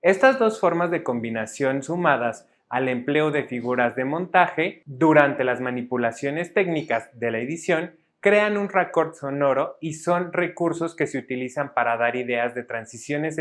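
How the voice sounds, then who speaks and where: Male narrator for educational audio. Fairly high pitch, formal and didactic, reads a structured script. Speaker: instructor narrator.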